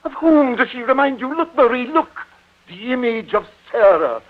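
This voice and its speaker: Through a tinny, narrow 1940 radio broadcast comes a grandiose theatre-manager father, very high in pitch for a man. He declaims and schemes, domineering and excitable, in old radio-play style. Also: moderate pace. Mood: excited, commanding. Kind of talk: 1940s radio drama acting.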